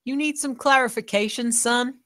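A woman's voice: on the high side, moderate pace, pushed and bright, no room sound, studio quality. A woman playing a stern, commanding mother who runs a gang, speaking firmly and tersely in a drama table read. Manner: firm, terse.

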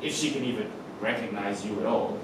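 A man's voice: lowish voice, a little fast, close to an everyday voice. An actor playing Adam, a young man teasing and worrying about his mother, in a staged reading on a theatre stage. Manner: wry, teasing, then alarmed and shouting. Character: young man Adam, anxious, joking son. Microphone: far camera, no visible mic. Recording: echoey hall from far off, noisy.

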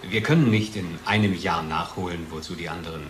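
A man's voice: low-pitched, a little fast, a bit bright. Male research manager, radio play: sardonic, emphatic, roomy sound with slight noise. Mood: sardonic, indignant.

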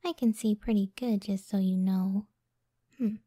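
A woman plays a devoted giantess cyclops wife in an intimate ASMR roleplay. Her voice is very soft and mellow, breathy and often close to a whisper. She speaks slowly and tenderly, with a self-doubting gentleness, in a dry, close recording. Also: mid-range voice, steady tone, no echo. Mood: gently teasing. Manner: slow, tender, unhurried.

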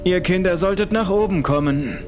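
A man voicing a calm, determined father in a horror audiobook, measured and insistent. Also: high-pitched, music in the background, pitched above the performer's own voice, lightly acted. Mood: firm.

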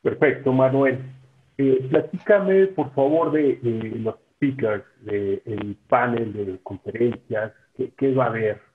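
A man's voice: medium pitch, slow. A man with a Mexican Spanish accent interviewing a guest on a video call, asking hesitant questions. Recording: tinny phone-like call audio.

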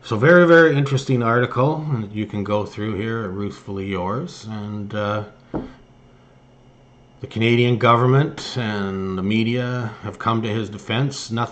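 A man hosting an online news commentary, reading articles aloud and remarking on them somewhat haltingly. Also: lowish voice, a bit bright. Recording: noticeable background noise.

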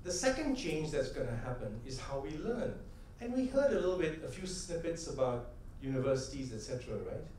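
Middle-aged male keynote speaker, mellow tone, lively storytelling, big echoey hall. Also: fairly high pitch, brisk, hardly any pauses, slight background noise. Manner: animated storytelling, gestures, rhetorical questions. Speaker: conference speaker. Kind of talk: stage keynote talk with anecdotes. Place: large conference hall stage. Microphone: headset mic. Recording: echoey hall, heard from a distance.

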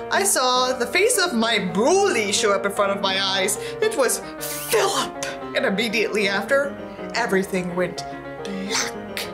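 A young woman with a fairly high, very animated voice reads a book aloud. She is theatrical and sarcastic, breaking off into sweary commentary. Faint music plays behind her. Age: young adult. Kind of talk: dramatic book reading with sarcastic asides. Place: indoors, small set with tinsel backdrop.

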